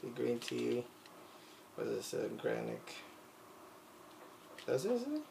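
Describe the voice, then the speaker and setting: Casual vlogger, Puerto Rican accent, self-deprecating, roomy kitchen with faint noise behind. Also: male voice, mid-range voice, huge pitch swings, takes it slowly, gravelly. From Puerto Rico. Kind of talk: casual chat while cooking. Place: home kitchen, indoors. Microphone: phone camera on counter. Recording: echoey kitchen with faint ambience.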